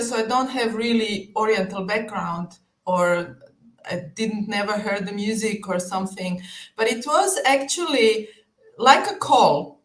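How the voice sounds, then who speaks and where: Woman, video call guest, lively, echoey room. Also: a little high. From Croatia. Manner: lively, laughs often, searches for words. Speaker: guest, belly dancer. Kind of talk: live video call interview.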